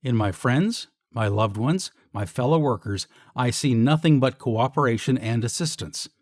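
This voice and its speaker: A man narrating scripted guided meditation affirmations in a measured, declarative way. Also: medium pitch, lively intonation, dry recording, clean studio sound.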